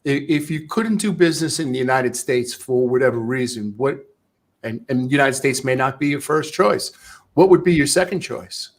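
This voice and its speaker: A man's voice: medium pitch, normal speed. A man hosting a podcast, asking casual follow-up questions in conversation. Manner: conversational, probing follow-ups. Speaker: podcast host. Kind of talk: casual interview chat.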